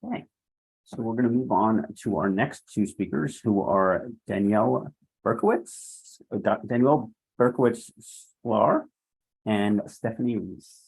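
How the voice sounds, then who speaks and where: A man's voice: fairly low pitch, a little slow, a little mellow, breathy edge, rough recording. A man moderating a public hearing over a video call, calling up speakers with short, procedural remarks. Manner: brief, procedural, hesitant with names.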